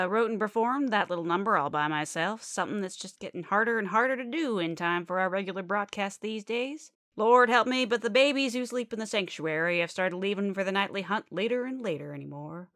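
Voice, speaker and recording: A woman's voice: mid-range voice, fairly bright tone, no echo, studio quality. A woman playing a zealous, chatty Baptist preacher with a Southern US accent, rambling emphatically through a midnight radio sermon. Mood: folksy exasperation.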